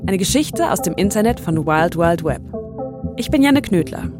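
A woman's voice: on the low side, lively intonation, normal speed. Woman narrating a podcast over music.

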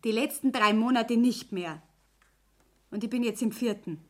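Woman acting a young bavarian office daughter, high voice, matter-of-fact, typewriter noise behind, stage drama. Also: normal speed, lightly acted. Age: young adult. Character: efficient, matter-of-fact young pregnant daughter working as secretary. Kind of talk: dialect stage-play acting.

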